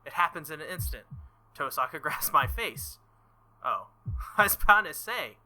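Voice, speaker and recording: A man's voice: a little high, sing-song, measured pace, sharp tone, a little hiss or hum. A man reading a visual novel aloud in a playthrough, adding playful, joking comments. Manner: playful, joking asides and laughter. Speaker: let's play streamer.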